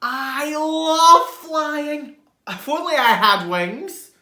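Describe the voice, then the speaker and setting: Reader voicing an awestruck, dreamy slug in echoey room. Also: male voice, very high voice, bright, forceful tone, pitched above the performer's own voice, full character voice. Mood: thrilled. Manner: awestruck, drawn-out exclamations. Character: excitable, wide-eyed, dreamy young male slug. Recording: roomy, echoing indoor sound.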